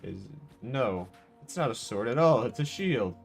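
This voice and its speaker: A man's voice: mid-range voice, animated, moderate pace, vocal fry. A man streaming a game with casual live commentary, musing aloud and echoing the game's lines. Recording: game music behind the voice.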